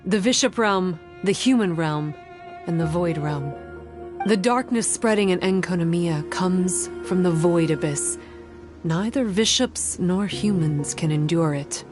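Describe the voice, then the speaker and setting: An actress plays a young shrine maiden with a lowish female voice. She is solemn and guarded, speaking like a scholar in measured, formal phrases. Faint music runs behind the game dialogue. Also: lightly acted. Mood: grave explaining. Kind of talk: video game character acting.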